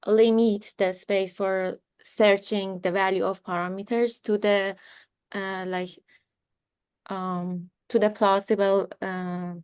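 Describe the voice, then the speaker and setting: A woman's voice: medium pitch, level tone, takes it slowly, darkish tone, smooth, no room sound, clean studio sound. A young woman hosting a video seminar, answering questions and introducing speakers with many fillers. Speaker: seminar moderator. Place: home room. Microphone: video call.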